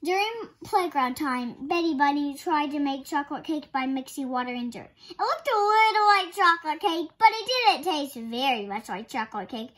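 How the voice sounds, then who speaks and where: A woman reading a children's picture book aloud as a storytime host, in an expressive storytelling style. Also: high-pitched, normal speed, few pauses.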